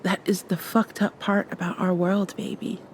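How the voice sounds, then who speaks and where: A woman playing a gentle, affectionate young vampire in an intimate ASMR roleplay, speaking softly with thoughtful pauses. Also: mid-range voice, little pitch movement, slight background noise, lightly acted. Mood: confiding, wry. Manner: soft, tender, unhurried, thoughtful pauses. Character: gentle, affectionate young female vampire confiding in her girlfriend.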